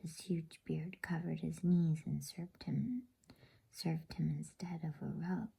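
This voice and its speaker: A woman reading a bedtime story aloud as ASMR, in a soft, slow and calm unvoiced whisper. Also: low-pitched, vocal fry, breathy edge.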